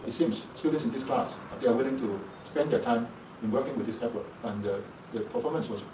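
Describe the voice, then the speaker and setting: Fast-talking male lecturer, echoey room. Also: medium pitch. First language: Chinese. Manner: measured, explanatory, frequent "uh" fillers. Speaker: university lecturer. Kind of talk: interview for a program promo. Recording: echoey room, distant mic, background noise.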